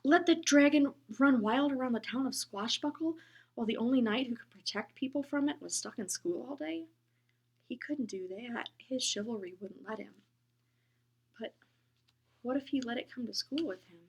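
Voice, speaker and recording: A woman reading a children's book aloud, gentle and expressive, often dropping into a whisper. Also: fairly high pitch, normal speed, breathy edge. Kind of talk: storybook read-aloud.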